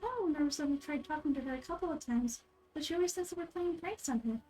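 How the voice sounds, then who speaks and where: Lady doing a tiny eager fairy sidekick for a game, high n chirpy, like a little kid. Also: expressive, fast, warm tone, faint music behind, low-quality recording. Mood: doubtful. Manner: chirpy, third-person speech. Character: small chirpy fairy companion, childlike and eager.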